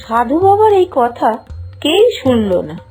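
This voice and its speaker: High animated lady reading a kids fairy tale, clear music playing behind. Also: measured pace. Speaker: narrator. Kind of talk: scripted children's story narration. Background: background music.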